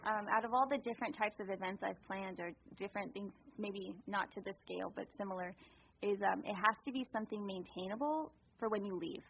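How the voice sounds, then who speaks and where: A woman's voice: mid-range voice, brisk, gravelly, a little hiss or hum. A woman at a committee meeting, laying out her ideas with enthusiasm and many fillers, recorded in a meeting room. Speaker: committee member. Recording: dull lo-fi recording.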